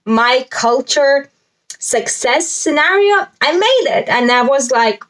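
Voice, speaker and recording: Slow, higher-pitched Latvian-accented young woman. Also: lively intonation, fairly bright tone. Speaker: spiritual self-help vlogger. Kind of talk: casual talk to camera, explaining a list.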